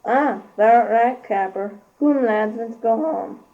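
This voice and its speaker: A woman's voice: fairly high pitch, slow pace. A young teenage girl playing a good-natured village millhand in an amateur radio play. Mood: agreeable. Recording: dull lo-fi amateur recording.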